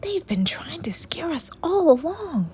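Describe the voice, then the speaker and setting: A woman voicing an excitable, suspicious young boy camper in a children's story, with an emphatic childlike manner. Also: very high-pitched, unhurried, a little hiss or hum, voice pushed up, exaggerated voice. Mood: whispered suspicion.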